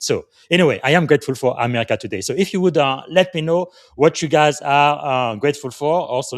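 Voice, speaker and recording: A man from Congo hosting a live podcast, leading a gratitude moment in an earnest, reflective way with halting phrases. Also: medium pitch, dry recording, studio quality. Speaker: podcast host.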